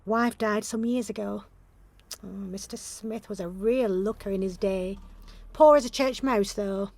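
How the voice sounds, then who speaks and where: A woman's voice: mid-range voice, a little slow, a little mellow. An actress playing a gossipy, suspicious, chatty female building caretaker in an audio drama. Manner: chatty, busybody. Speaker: actor in an audio play. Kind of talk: naturalistic audio drama dialogue.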